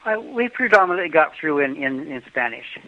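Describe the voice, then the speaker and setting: A man's voice: fairly high pitch, sing-song, fairly quick, dry recording. An older American man telling travel stories in a casual interview, rambling with many fillers and restarts. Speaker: travel guest. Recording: dull lo-fi podcast audio.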